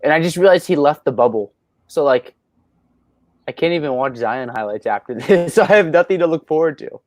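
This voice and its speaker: A man's voice: fairly high pitch, lively intonation, fairly quick, a little mellow. A nineteen-year-old man hosting a casual Q&A from home, upbeat and teasing, laughing often as he reads out viewer questions. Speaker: host of a Q&A livestream. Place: at his rural home.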